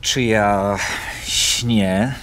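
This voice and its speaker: Very slow, insinuating gentleman. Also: lowish voice, fairly even intonation, a little hiss or hum, low-quality recording. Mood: bewildered. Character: sly, insinuating gentleman confidant. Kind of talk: theatrical radio drama in verse.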